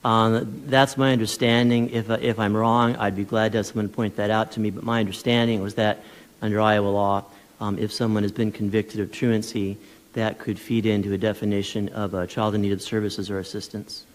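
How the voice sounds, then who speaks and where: A man testifying at a legislative hearing, speaking in a measured, persuasive way with small restarts. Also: fairly low pitch, little pitch movement. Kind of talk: formal testimony. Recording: long reverb of a large hall.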